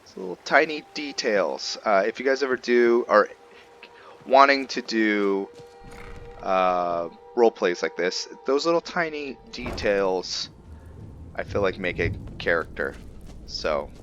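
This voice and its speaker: A man's voice: lowish voice, lively intonation, a little slow, fairly bright tone, lo-fi sound, faint music behind. A man hosting a gameplay video, talking informally and thinking aloud to his viewers in casual roleplay commentary. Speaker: gaming video host.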